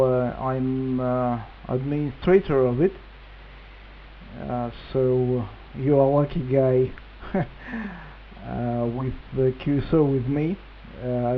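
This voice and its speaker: A man with a Russian accent speaking hesitant non-native English in a casual ham radio exchange over a radio link. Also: medium pitch. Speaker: amateur radio operator, reflector administrator. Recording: tinny band-limited radio link with hiss.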